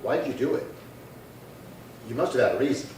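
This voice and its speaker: A man playing a businesslike, patient police detective in an amateur radio crime drama, clipped, polite and deadpan. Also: normal speed, lightly acted. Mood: dry questioning. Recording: echoey room, distant mic, faint rumble.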